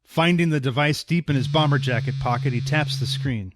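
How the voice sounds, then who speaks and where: A man's voice: medium pitch, fairly quick, lightly acted. A man acting as the composed narrator of a superhero audio drama, reading scripted present-tense description. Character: composed male storyteller narrating a superhero tale.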